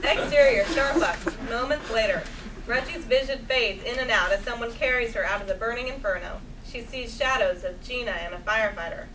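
A woman's voice: on the high side, brisk. A woman reading out stage directions as scripted narration at a table read. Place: small theatre stage, seated before an audience. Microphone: far camera. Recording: noisy stage recording from far off.

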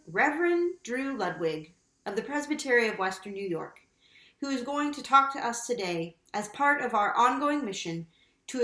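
A woman's voice: on the high side, fairly bright tone, reverberant. Earnest young woman leading a prayer. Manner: measured, earnest liturgical reading. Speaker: worship leader. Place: small room at home, indoors.

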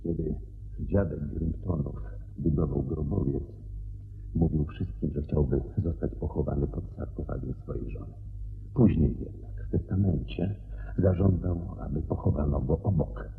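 A man acting in a radio play as a brilliant, assured detective, explaining methodically and deliberately with theatrical pauses. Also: extremely low pitch, creaky. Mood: explanatory, measured. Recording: dull radio recording, faint noise and hum.

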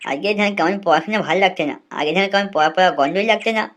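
A woman's voice: on the low side, rapid-fire, lightly acted. A woman voicing a cheeky, whiny young boy in a comic cartoon, grumbling and easily frightened. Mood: whiny, reluctant. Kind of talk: comic cartoon dubbing in colloquial Bengali.

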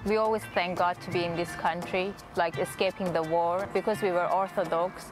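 A woman in her thirties, raised in Iran and now in Australia, tells her story quietly and thoughtfully, often leaning on "like". She speaks outdoors into a lapel mic. A clear music bed plays under her voice. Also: fairly low pitch, steady tone, normal speed. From born in Iraq, raised in Iran, lives in Australia. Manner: soft, reflective, sprinkles "like". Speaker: testimony guest. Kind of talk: personal testimony interview. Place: outdoor garden.

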